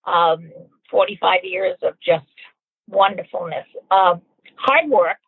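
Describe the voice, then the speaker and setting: A woman's voice: fairly low pitch, fairly even intonation, takes it slowly, creaky, husky, dry recording. A woman in her sixties or older, fondly and reflectively telling her own story as an interviewee. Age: 60s or older. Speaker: former store owner, interviewee. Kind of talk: personal recollection, storytelling. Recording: muffled, narrow-band, dry recording.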